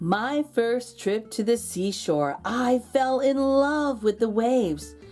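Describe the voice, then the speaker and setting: A woman's voice: on the high side, takes it slowly, a little mellow. Woman reading a storybook aloud over music. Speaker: children's book read-aloud host. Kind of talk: storybook read-aloud narration.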